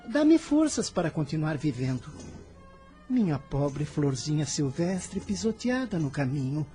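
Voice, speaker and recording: A woman plays a caring, earnest boy apostle in a Brazilian radio drama. The voice is soft-edged and lively in pitch, with solemn, protective warmth. Light music runs underneath. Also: lightly acted. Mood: tender, moved.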